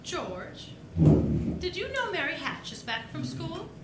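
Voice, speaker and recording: A woman's voice: high voice, wildly swooping pitch, slow pace, heavy background noise, heavily acted. A woman playing a fond, matchmaking small-town mother in a live 1940s-style radio play, speaking in a knowing, nudging way. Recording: roomy live stage.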